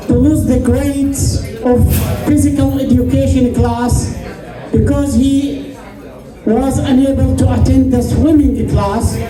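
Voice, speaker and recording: A man with a high voice, an imam addressing election candidates at a community event, speaking haltingly and repeating phrases as he finds his words. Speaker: imam of a prayer center. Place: large indoor hall at a public event. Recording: echoey hall, distant mic, crowd murmur.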